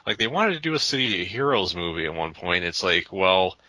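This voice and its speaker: A man's voice: deep voice, sing-song, fairly quick, bright, forceful tone, no echo. A man in casual podcast chat, hesitant, often repeating words as he builds a point. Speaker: podcast co-host.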